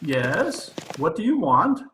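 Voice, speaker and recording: A man's voice: a little high, big pitch swings, slow pace, fairly bright tone, slight background noise, full character voice. A man playing a blustering, pompous island ruler in an old-time radio comedy. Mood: baffled. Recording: roomy remote home recording.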